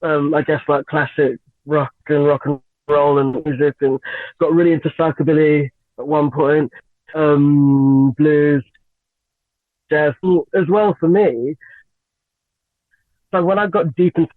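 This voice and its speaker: A young woman from Lagos and London, a singer, chatting as an interview guest with frequent giggles and lots of halting fillers. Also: low-pitched, steady tone, no echo. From Nigeria and England. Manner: giggly, hesitant with many fillers. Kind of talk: radio interview, casual chat. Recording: tinny phone-line sound, dry.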